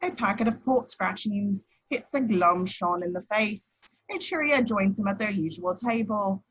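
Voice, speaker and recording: A woman's voice: mid-range voice, a little slow, a bit bright, slightly rough, poor audio. A woman reading out screenplay stage directions as scripted narration in a table read. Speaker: action description reader.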